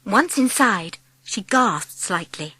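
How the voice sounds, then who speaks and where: A woman narrating a dramatised storybook as an audiobook, with expressive, measured phrasing. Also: mid-range voice, slightly rough, dry recording, rough recording.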